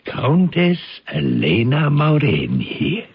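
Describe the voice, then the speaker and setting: Elderly count, very raspy and gravelly, florid, persuasive, slow deliberate delivery, old radio drama. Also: male voice, on the high side, wildly swooping pitch, exaggerated voice. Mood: grandiose, wistful. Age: older. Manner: theatrical, florid, coaxing. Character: smooth-talking, grandiose elderly bachelor count, persuasive and paternal. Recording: dull lo-fi radio recording.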